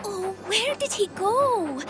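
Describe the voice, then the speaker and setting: A woman's voice: very high-pitched, animated, takes it slowly, over music, heavily acted. A woman voicing a worried, caring young female steam engine in a children's cartoon, with an anxious, pleading manner.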